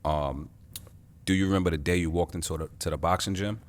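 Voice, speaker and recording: A man's voice: deep voice, steady tone, fairly quick. A man hosting a casual interview in a boxing gym, hyping the intro and then asking questions in an easygoing way. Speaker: interviewer, show host.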